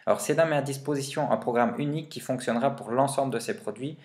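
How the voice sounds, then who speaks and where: A man's voice: mid-range voice, quick pace, a little mellow. A man talking informally and with plenty of slang as he explains gear in a casual vlog review. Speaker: vlogger reviewing motorbike and quad gear.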